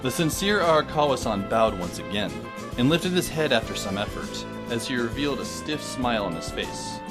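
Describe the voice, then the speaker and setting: A man narrating an audio drama as a wry, deadpan teenage boy. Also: medium pitch, normal speed, music in the background, lightly acted.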